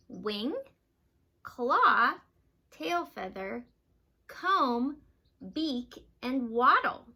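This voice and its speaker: Bright, high-pitched woman teaching young children. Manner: simple explanations with frequent questions to young children. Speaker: teacher reading aloud to students. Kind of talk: children's read-aloud lesson.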